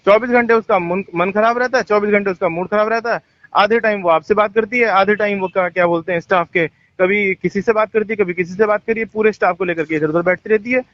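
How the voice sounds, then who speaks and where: A man's voice: high-pitched, fast talker, a bit bright, dry recording. A man talking informally on a recorded phone call, explaining himself insistently. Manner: insistent, explaining, repeatedly asking to be heard. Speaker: resort boss speaking on a phone call. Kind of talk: tense informal phone conversation.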